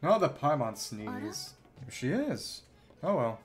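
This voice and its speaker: A man's voice: medium pitch, sing-song, slow, faint music behind. A man chatting casually as he plays a game on a livestream. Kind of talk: casual livestream gameplay chat and reading along.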